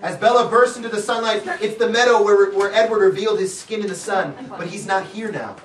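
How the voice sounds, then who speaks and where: A woman's voice: medium pitch, fairly quick, a little hiss or hum. A woman theatrically narrating stage directions in a comic script reading, breaking off into playful asides. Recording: echoey room, far-off camera mic, crowd.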